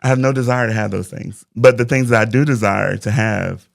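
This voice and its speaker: Forties male life coach, very low gravelly voice, dry close sound, thoughtful and faith-driven. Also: fairly quick, dry recording. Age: 40s. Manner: reflective, faith-driven talk. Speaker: interview guest, life coach. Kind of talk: casual interview conversation.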